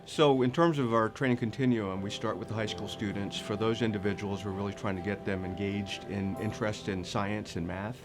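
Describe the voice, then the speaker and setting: A man's voice: lowish voice, steady tone, fairly quick, hardly any pauses. A man who directs a research training program, explaining it in a promotional style. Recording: music bed behind the voice. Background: music.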